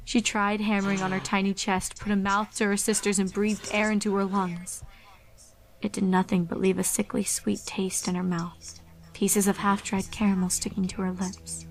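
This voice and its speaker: A woman's voice: medium pitch, level tone, normal speed. A woman reading horror fan fiction as a dramatic scripted narration.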